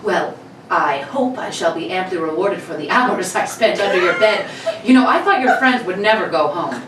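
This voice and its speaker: A woman's voice: on the low side, expressive, moderate pace, crowd noise, close to an everyday voice. A woman playing a suave, mockingly polite male burglar in a 1930s radio play, teasing and genteel. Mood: smug, teasing. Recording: roomy, distant mic, clear noise.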